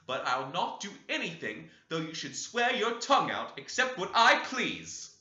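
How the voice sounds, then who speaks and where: A man voicing a defiant, scornful young woman, raising his voice higher, in a roomy, dull recording. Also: huge pitch swings, a little slow, bright, forceful tone, full character voice.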